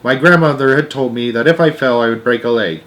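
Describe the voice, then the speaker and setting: A man with a mid-pitched voice reads a children's novel aloud. He narrates expressively, as a storyteller to listeners. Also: a little fast, fairly bright tone.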